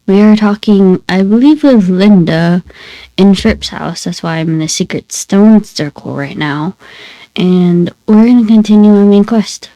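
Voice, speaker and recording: Slow, mellow woman with a stuffy voice. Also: mid-range voice, dry recording, rough recording. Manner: chatty, rambling asides to viewers. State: stuffy, congested voice. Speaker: gaming channel host. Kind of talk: casual gameplay commentary.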